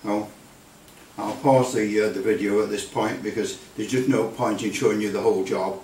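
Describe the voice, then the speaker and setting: A man giving an informal how-to narration in a halting, unscripted way, recorded at a distance in an indoor workshop. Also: lowish voice, steady tone, a little mellow. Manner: halting, unscripted delivery with many hesitations. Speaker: hobbyist presenter demonstrating restoration. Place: hard-surfaced workshop indoors. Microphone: distant camera microphone. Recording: very echoey, distant, noisy room sound.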